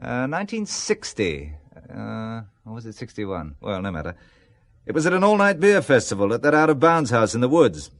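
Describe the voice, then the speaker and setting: Middle-aged male boss, very varied pitch, dry and teasing, hesitant, audio drama delivery. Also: very animated, normal speed. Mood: nostalgic. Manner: dry, bantering, hesitant ums.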